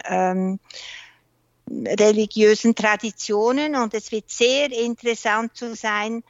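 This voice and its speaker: Swiss woman, hesitant, slightly high voice. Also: no room sound, dull audio. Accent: Swiss German. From Switzerland. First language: not German; works mainly in French and English. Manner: thoughtful, searches for words, frequent hesitations. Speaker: guest researcher and author. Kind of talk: interview conversation.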